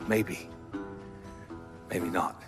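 A male actor playing a smug, taunting armed villain in a video game cutscene, coolly amused and mocking. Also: extremely slow. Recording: loud music behind the voice.

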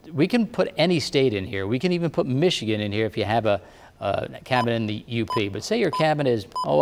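A man working as a TV meteorologist, calmly explaining a device one step at a time. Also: medium pitch, vocal fry, big-room sound, slight background noise. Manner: plain, patient instructive talk. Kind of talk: step-by-step demonstration. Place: TV studio weather set.

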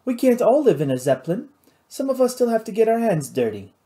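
A man's voice: sits high, sing-song, a little fast, dark tone, pitched above the performer's own voice. A man voicing a hot-tempered pirate boss in an audiobook, indignant and scolding, peppering his talk with French exclamations. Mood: irritated.

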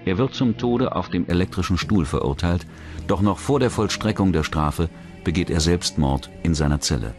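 A man's voice: deep voice, moderate pace, a little mellow, soft background music. A man reading scripted true-crime documentary narration as the narrator.

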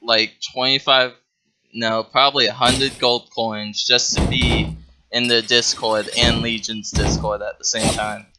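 A man's voice: medium pitch, level tone, measured pace. A brash, profane man trading casual trash talk while playing an online game. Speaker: gamer in a group voice chat. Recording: noisy gaming voice chat.

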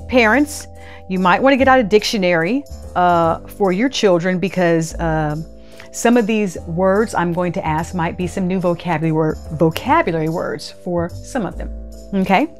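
Slow, animated woman reading a children's story. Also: mid-range voice. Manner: animated, deliberate teacherly delivery. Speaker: storytime host reading aloud. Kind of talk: expressive children's read-aloud narration. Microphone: lapel mic.

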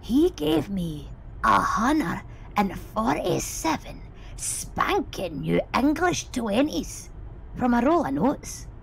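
Chatty, wry woman cabbie for audio drama; creaky voice, unhurried telling. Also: a little high, big pitch swings, slight background noise, full character voice. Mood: matter-of-fact. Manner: gossipy, amused, musing aloud. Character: chatty, wry woman taxi driver in rural Scotland. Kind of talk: audio drama monologue, conversational testimony.

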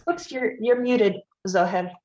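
A woman moderating a forum, reading prepared announcements in a measured, formal manner. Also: a little high, a little mellow, dull audio.

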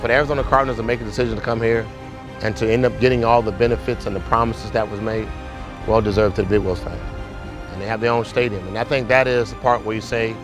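A man's voice: lowish voice, fairly even intonation, quick pace, over music. A man speaking reflectively in a documentary interview.

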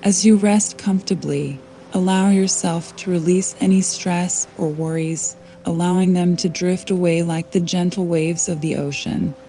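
A woman guiding a sleep meditation in a soothing, unhurried way, leaving long pauses between scripted phrases. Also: on the low side. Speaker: meditation guide. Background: soft calming background music.

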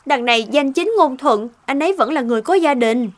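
An actress voicing a young female office worker in an animated cartoon, with a coy, expressive way of talking. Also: high-pitched, a little fast. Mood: insistent.

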